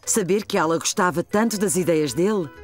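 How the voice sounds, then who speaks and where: A woman's voice: on the low side, a little fast. A woman with a European Portuguese accent reading scripted story narration for a children's cartoon. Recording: faint music behind the voice.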